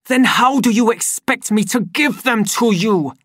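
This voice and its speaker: A woman voicing a wild young man who shouts in anger. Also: on the high side, measured pace, no room sound, studio quality, pitched above the performer's own voice. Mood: urgent.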